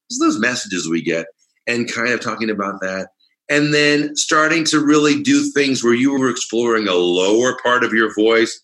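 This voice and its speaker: Bright-voiced American male voice coach. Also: lowish voice, low-quality recording. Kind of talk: conversational interview.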